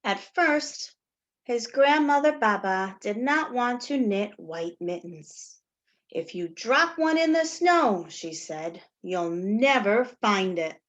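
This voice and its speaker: A woman teacher doing a story time read-aloud for young children, with simple, deliberate phrasing and a sing-song, questioning delivery. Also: mid-range voice, animated, highs cut off. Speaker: teacher reading a picture book to young children.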